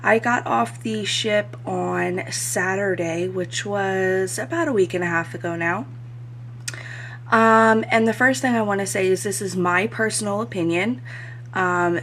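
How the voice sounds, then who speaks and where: Chatty woman vlogger, slightly hoarse. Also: medium pitch, a bit bright, slight background noise. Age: adult. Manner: chatty, frequent "um" fillers. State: slightly hoarse voice after crying. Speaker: cruise vlogger. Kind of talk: casual review vlog.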